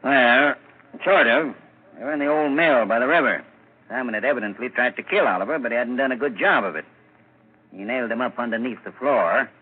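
An actor playing an easygoing, plain-spoken police constable in a 1940s radio drama, folksy and matter-of-fact. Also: male voice, mid-range voice, brisk, slightly rough, lightly acted. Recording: crackly, narrow-band 1940s radio broadcast.

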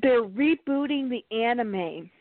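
Phone-like recording of a halting woman. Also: on the high side, lively intonation. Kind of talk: casual chat. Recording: thin phone-like audio.

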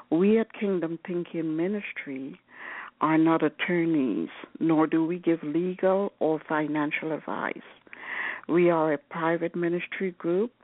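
A woman host, a prophetess, reading announcements and welcoming a guest in a measured, formal way on an internet radio show. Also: low voice, no room sound. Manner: measured, formal, reads disclaimers. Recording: dry tinny phone line.

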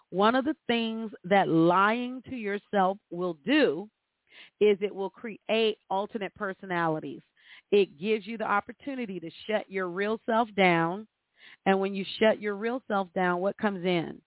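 A woman's voice: mid-range voice, no echo. A woman's voice comes through narrow and phone-like, with very little room around it. She speaks as a spiritual commentator and host, earnest and exhorting. She repeats phrases for emphasis as she delivers guidance. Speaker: host of astrology forecasts. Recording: thin, narrow phone-like sound.

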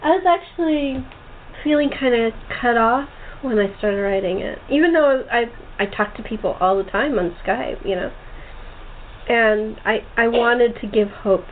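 A woman hosting a casual interview, chatty and halting as she rephrases her questions. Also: on the high side. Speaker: host, playwright-director interviewing cast. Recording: tinny phone-like internet call, noisy.

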